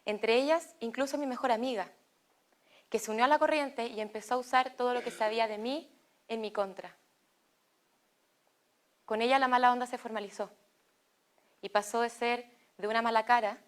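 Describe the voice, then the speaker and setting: A young woman with a Chilean Spanish accent tells a personal story in a fairly high voice. She speaks slowly and deliberately and pauses between phrases. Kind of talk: personal testimony read as narration.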